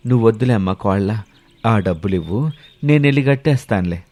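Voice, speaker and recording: A man voicing a scheming, fawning middle-aged village uncle in an animated moral story, coaxing others and muttering to himself. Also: fairly low pitch, brisk, no room sound, natural voice. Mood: flustered, pleading.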